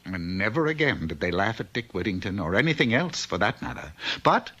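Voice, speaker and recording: A man's voice: fairly low pitch, big pitch swings, a little fast. A man narrating a comic fairy-tale cartoon from the 1960s as a wry storyteller. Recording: dull, noisy old cartoon soundtrack.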